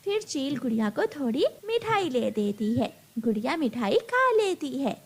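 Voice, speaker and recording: A woman's voice: high-pitched, big pitch swings, moderate pace. A woman reading scripted narration for a children's animal cartoon story.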